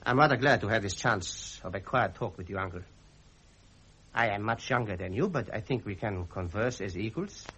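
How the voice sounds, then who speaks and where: An actor playing an arrogant young Prussian prince, boastful, stiff and goading, in period radio drama style. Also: male voice, fairly low pitch, animated, normal speed, a bit bright, slight background noise. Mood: confiding. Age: young adult. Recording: muffled vintage radio recording.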